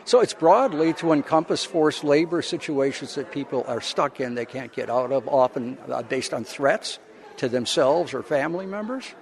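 A male college professor giving informal interview answers, explaining things in a halting, rambling way. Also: mid-range voice, lively intonation, creaky.

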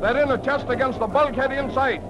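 A man acting a brisk, grave ship's officer with nautical, commanding speech in an old-time radio adventure serial. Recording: noisy old radio recording with engine rumble.

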